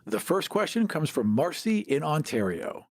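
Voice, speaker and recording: A man's voice: on the high side, very animated, moderate pace, slight background noise. A man hosting a podcast in casual discussion, earnest and opinionated in how he talks. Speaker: podcast host and producer.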